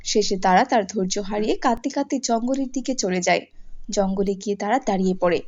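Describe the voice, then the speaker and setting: A woman's voice: medium pitch, a little fast, a little mellow, lo-fi sound. A woman reading scripted narration for a fairy tale.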